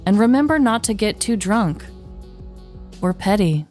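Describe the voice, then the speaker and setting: A woman's voice: mid-range voice, animated, measured pace, darkish tone, soft background music. A woman co-hosting a podcast in casual, chatty, informal conversation, with a giggly manner. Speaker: podcast co-host. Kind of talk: casual comedy true-crime podcast chat.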